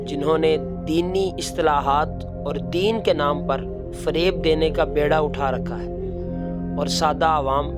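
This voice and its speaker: A man narrating a moral as a storyteller, in a measured, preaching manner. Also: a little high, loud music behind the voice.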